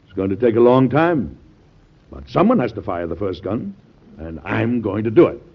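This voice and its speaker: An actor playing a principled, stubborn American president in an old-time radio drama, with an earnest, formal, declamatory manner. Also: male voice, fairly low pitch, very animated, normal speed, breathy edge, full character voice. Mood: earnest, resolute. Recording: muffled vintage radio recording.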